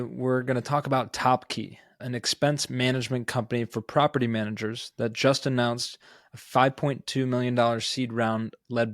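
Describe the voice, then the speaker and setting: Man with fairly even-toned podcast delivery. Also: medium pitch, no room sound, clean studio sound. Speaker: podcast co-host. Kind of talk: conversational business news discussion.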